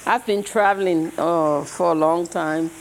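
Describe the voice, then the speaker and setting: Older Liberian woman, voice cracked and hoarse, unhurried lecturer with a lowish tone and a faint hiss behind. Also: steady tone, poor audio. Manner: slow, reflective storytelling with pauses. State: hoarse from travel. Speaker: guest speaker. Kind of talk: public lecture. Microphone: handheld or podium mic.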